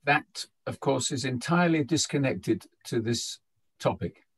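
A male poet on a video call, talking informally and haltingly as he searches for words. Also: medium pitch, lively intonation, a little hiss or hum. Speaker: poet answering questions. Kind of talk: informal reflective talk. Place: indoors at home, on a group video call.

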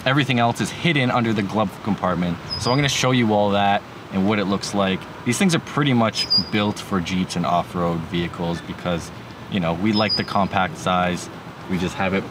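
A man's voice: low-pitched, normal speed. A man in his thirties giving a casual, laid-back but enthusiastic product walkthrough in colloquial language, as a gear vlogger. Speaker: vlogger demonstrating vehicle gear. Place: inside a parked jeep with open door, outdoors. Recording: clear background noise, vehicle ambience.

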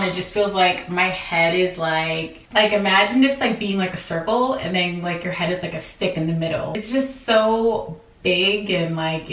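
A young woman talks casually to her viewers, filling her sentences with "like" as she goes. Her voice sits at an ordinary pitch. It bounces off the hard walls of a small bathroom, and the camera mic is set back from her. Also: a bit bright, roomy sound, distant mic, poor audio. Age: young adult. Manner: chatty, frequent "like" fillers, candid. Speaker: vlogger. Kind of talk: casual vlog chat and clothing haul. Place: home bathroom with tiled shower. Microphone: camera on a tripod a few feet away.

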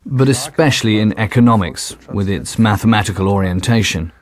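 A middle-aged man recalling his studies in a thoughtful, unhurried interview. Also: fairly low pitch. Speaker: interviewed alumnus. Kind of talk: relaxed interview recollection. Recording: faint music underneath.

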